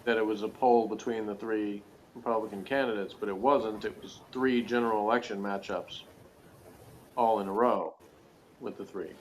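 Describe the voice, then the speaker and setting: A man's voice: lowish voice, fairly even intonation, normal speed, a little hiss or hum, low-quality recording. A man chatting casually as co-host of a TV review, with a slangy, joking, teasing way of talking. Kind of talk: casual chat, TV episode commentary.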